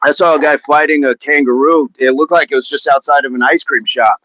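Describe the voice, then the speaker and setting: A man's voice: on the high side, a little fast, bright, forceful tone, rough recording. A man hosting a fitness livestream, chatting casually and answering viewer comments in an upbeat, laughing, repetitive way. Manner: chatty, upbeat, laughs often, repeats phrases.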